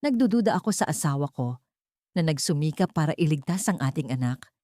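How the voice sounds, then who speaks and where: A woman's voice: sits low, expressive, fairly quick, warm tone, dry recording, studio quality. A woman voicing a troubled, guilt-ridden husband and father in an audio story. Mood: ashamed. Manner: troubled, brooding.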